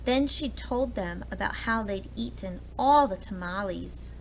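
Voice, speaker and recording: Slow-paced woman reading a storybook. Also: mid-range voice, vocal fry, slight background noise, rough recording. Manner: explains words and asks listeners questions. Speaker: preschool teacher reading aloud. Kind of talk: expressive children's storybook read-aloud.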